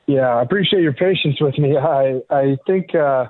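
A man giving measured, explanatory opinions as a golf journalist in a conversational radio interview. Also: mid-range voice. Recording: thin, tinny phone-like connection.

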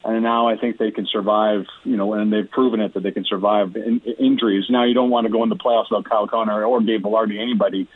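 A man's voice: on the low side, fairly even intonation, quick pace, few pauses, husky, no echo. A man talking through hockey analysis conversationally as a guest reporter, often restarting his phrases as he goes. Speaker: hockey team reporter and podcaster, radio guest. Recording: dull lo-fi radio call-in audio.

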